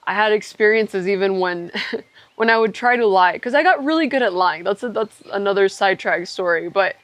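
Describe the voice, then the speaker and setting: A woman's voice: mid-range voice, moderate pace, no echo. Young woman, mid-range voice, candid reflective podcast conversation, trails into asides, dry sound. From Ecuador. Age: young adult. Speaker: podcast co-host telling their own story.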